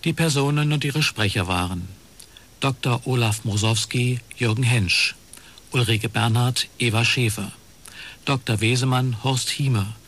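Guy doing formal radio play end credits, average pitch, scripted announcer read. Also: measured pace, fairly bright tone.

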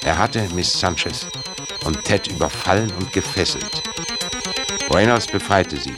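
A man's voice: deep voice, animated, measured pace. A man narrating an audio drama in a scripted storytelling style. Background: music.

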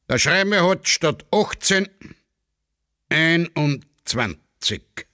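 A man's voice: fairly high pitch, lively intonation, slow pace, sharp tone, no room sound, studio quality, voice pushed up, full character voice. A man playing a callous, cynical military judge with an Austrian accent in a satirical radio play, brusque and dismissive. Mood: cynical, offhand.

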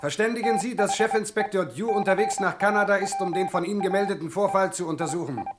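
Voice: mid-range voice, fast, over loud music, close to an everyday voice. Actor as clipped, impersonal telegram voice. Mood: formal. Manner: clipped, impersonal, saying stop between sentences. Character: formal telegram reader relaying urgent police messages. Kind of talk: vintage radio drama telegram reading. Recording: noisy signal with telegraph-like clicking.

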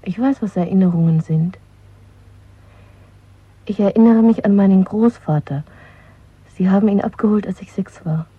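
An actress playing a young, pragmatic, questioning secret lover in a German radio drama, with a husky, breathy voice. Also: female voice, medium pitch, normal speed, pauses often, no echo, lightly acted. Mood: guarded, matter-of-fact. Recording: dry, narrow phone-like sound.

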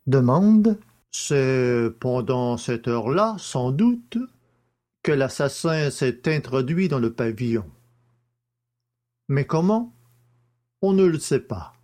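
Man playing a slow, lilting, formal examining magistrate. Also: mid-range voice, expressive, darkish tone. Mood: methodical, probing. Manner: formal, deliberate, announces each question. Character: courteous, methodical male examining magistrate questioning witnesses. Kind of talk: audiobook character acting.

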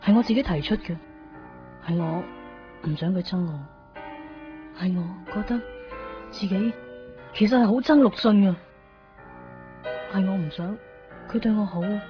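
A woman acting a conflicted young woman in a Cantonese radio drama, speaking with a Hong Kong accent in halting, introspective phrases. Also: mid-range voice, close to an everyday voice. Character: conflicted young woman torn in love, brooding and evasive. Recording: dull lo-fi 1990s radio recording, music behind. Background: background music.

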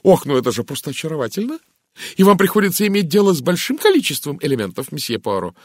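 A man voicing a gushing, excitable, talkative middle-aged lady in an audiobook, with an eager, exclaiming manner. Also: a little high, fast talker, no room sound, clean studio sound, voice pushed up. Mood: gushing, delighted. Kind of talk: audiobook character voice.

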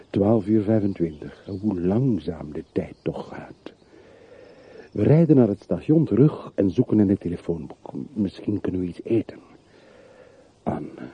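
A man's voice: low-pitched, husky, heavily acted. An actor playing a bitter, world-weary middle-aged man in a radio play, speaking tersely and broodingly on an old recording. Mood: subdued, brooding. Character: bitter, world-weary man revisiting his hometown's dead. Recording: muffled, phone-like, noisy old radio recording.

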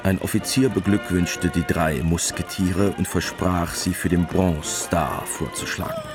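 A dry, measured storyteller narrates a radio war drama. His male voice is very low and matter-of-fact, with music clearly playing behind it. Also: fairly even intonation. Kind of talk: scripted narration in a German radio play.

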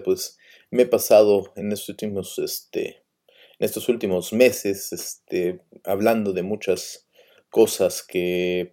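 A man talks through a relaxed radio segment. His voice sits fairly low and sounds dark and mellow. He rambles and hesitates, often stopping on filler words. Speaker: radio host. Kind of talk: casual radio talk.

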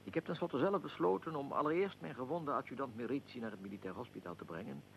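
Gravelly, creaky aristocratic archduke played by a man. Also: medium pitch, a little hiss or hum, natural voice, poor audio. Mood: measured recounting. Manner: formal, measured, dignified. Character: Austrian archduke, aristocratic, weary, recounting his own assassination. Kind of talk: radio drama acting.

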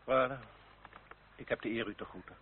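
An actor playing a proud aristocratic suitor with formal, restrained courtesy in a period radio drama. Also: male voice, mid-range voice, steady tone, slightly rough, natural voice. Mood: restrained pride. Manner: formal, measured politeness. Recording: tinny, band-limited old radio recording with hiss.

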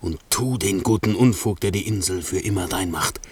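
An actor voicing a servile, scheming island monster in a classical radio play, husky, fawning and urgent, with diction. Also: male voice, sits low, normal speed, darkish tone, no echo. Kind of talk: classical German radio drama acting.

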